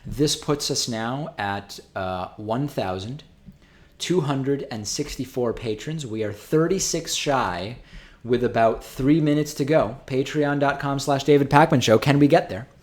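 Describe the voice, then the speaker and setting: Man, native Spanish speaker, live stream host, casual, thinks aloud, lots of ums and restarts, mid-pitched. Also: unhurried, a little mellow. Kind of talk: casual live stream, reading viewer donations.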